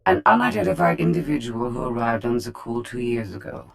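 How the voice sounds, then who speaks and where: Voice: mid-range voice, expressive, a little fast, gravelly. A performer playing a cool, calculating informant in a video game, giving briefings in a precise, formal, detached way. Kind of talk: video game cutscene acting.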